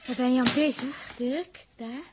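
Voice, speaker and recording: A woman's voice: sits high, steady tone, unhurried. A woman acting a sensitive, anxious young crew member in a 1960s radio drama, with a plaintive, hesitant delivery. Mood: worried. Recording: noisy old radio recording.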